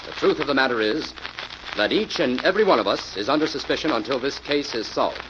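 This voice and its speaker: A man playing a calm, authoritative detective in 1940s radio mystery style, with a brisk, measured, commanding delivery. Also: mid-range voice, close to an everyday voice. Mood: stern, official. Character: calm, authoritative male detective solving a murder. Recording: muffled, noisy vintage radio recording.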